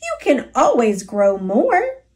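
A cheeky little storybook bird fellow, acted out by a woman reader. He is chirpy and pushy, with a high voice that leaps around and draws words out at an unhurried pace. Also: wildly swooping pitch, a little mellow, heavily acted. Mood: breezy. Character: cheeky cheerful male bird, a brash freeloader.

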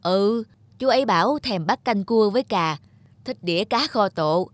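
A woman playing a chatty, gossipy married neighbour in an animated drama dub. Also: medium pitch, normal speed, no room sound, lightly acted.

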